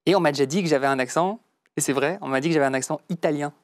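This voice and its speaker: A man's voice: on the high side, racing, no room sound. A man from Belgium with a Brussels accent, a guest chatting easily with lots of hesitant fillers. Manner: easygoing, hesitant fillers, laughs often. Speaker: guest, DJ and producer. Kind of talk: casual chat.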